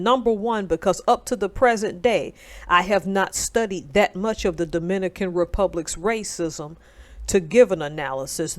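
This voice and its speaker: Emphatic woman with a lowish voice. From North Alabama, United States. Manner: emphatic, conversational, digressive. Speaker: commentator, military veteran. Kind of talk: casual commentary monologue.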